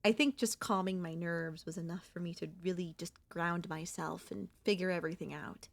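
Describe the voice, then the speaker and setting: A woman voicing a gentle, wistful and anxious young centipede monster girl in an ASMR audio roleplay, speaking softly and hesitantly in a conversational way. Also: on the low side, fairly even intonation, normal speed, gravelly. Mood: calm, reminiscing.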